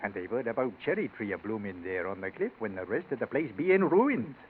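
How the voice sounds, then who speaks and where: An actor playing an old, grumbling yet deferential Yorkshire servant in a 1940s radio drama, in hesitant dialect. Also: male voice, fairly low pitch, big pitch swings, fairly quick. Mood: uneasy. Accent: Yorkshire English. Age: older. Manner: dialect speech, hesitant stammering. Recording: tinny, noisy 1940s radio recording.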